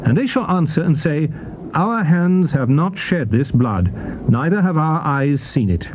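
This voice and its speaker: A man reading scripture in archaic English as a solemn dramatized narration, with a declamatory, measured delivery. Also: fairly high pitch, sing-song. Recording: dull, noisy old cassette tape recording.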